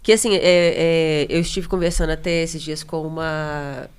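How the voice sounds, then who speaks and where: A woman's voice: deep voice, fairly even intonation, moderate pace, clear, resonant tone. A woman who works as a flight attendant, chatting on a podcast and telling stories with many restarts. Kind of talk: casual podcast conversation.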